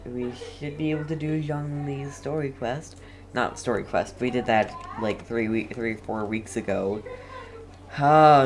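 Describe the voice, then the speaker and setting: A man's voice: medium pitch, slight background noise. A man giving casual let's play commentary, reacting to the game in a chatty, offhand way. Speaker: game streamer.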